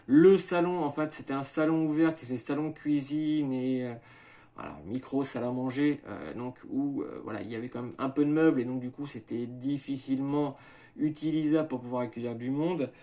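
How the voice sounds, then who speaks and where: A man in his thirties from western France presents himself and his farm project to camera, halting often with fillers and restarts as he goes through his points one by one. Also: mid-range voice, mellow. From France, Maine-et-Loire. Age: 30s. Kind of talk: hesitant self-presentation to camera.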